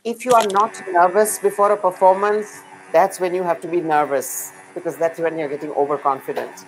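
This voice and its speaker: A middle-aged woman with an Indian accent and a somewhat low voice. She speaks fluently, confidently and with emphasis. The sound comes through a webcam on a video call. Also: steady tone, fairly quick, a little hiss or hum, rough recording. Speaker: guest dancer. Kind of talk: video call panel talk. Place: indoor room. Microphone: laptop webcam on a video call.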